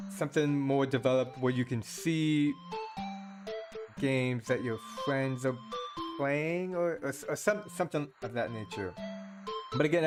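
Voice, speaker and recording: A man giving casual, rambling commentary while playing mobile multiplayer games, thinking aloud with many restarts. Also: mid-range voice. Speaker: gaming channel host.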